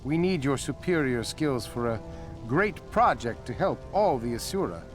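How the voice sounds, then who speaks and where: A sardonic little gnome creature, acted by a man in a fantasy video game. He is dry and mocking, with an animated middle-pitched voice over faint music. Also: normal speed, close to an everyday voice. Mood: flattering, urgent. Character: sardonic, wily small male gnome-like creature.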